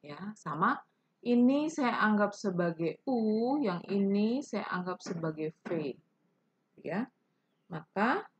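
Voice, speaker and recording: Patient woman teaching math, husky voice. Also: medium pitch, highs cut off. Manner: patient, step-by-step explaining, plays student questions. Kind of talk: whiteboard math lesson.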